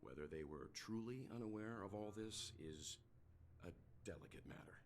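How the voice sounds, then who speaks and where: Game sage: low-pitched, solemn, measured, formal older man over music. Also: expressive. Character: grave, wise, reflective older male traveler. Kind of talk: scripted video game dialogue. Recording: game audio under loud music.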